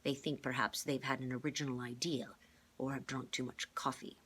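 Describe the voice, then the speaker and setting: Woman as a low-voiced, scholarly gentleman mentor. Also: flat delivery, breathy edge, full character voice. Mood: patient explaining. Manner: measured, articulate, formal. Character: calm, clever older man, kindly explaining mentor.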